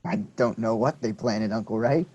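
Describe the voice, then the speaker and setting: A man's voice: lowish voice, normal speed, warm tone, highs cut off, close to an everyday voice. A man reading the part of a polite, earnest teenage nephew, a little unsure of himself. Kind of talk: staged play reading.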